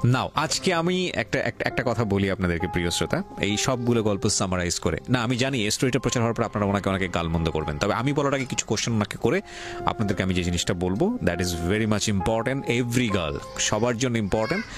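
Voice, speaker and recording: Bangladeshi radio host guy, low voice that swings a lot, talks fast with some english mixed in, music behind. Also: animated. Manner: deliberate, mixes English words into his speech. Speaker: radio talk show host. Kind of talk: probing interview, addressing guest and listeners.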